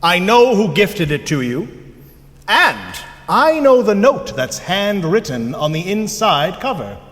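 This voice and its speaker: An actor playing a jolly, boastful, troll-like night spirit in a staged musical reading, theatrical and playful in both speech and song. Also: male voice, sits high, very animated, takes it slowly, heavily acted. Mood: coaxing. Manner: theatrical, playful, grandiose phrasing. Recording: live school stage, long reverb, loud music.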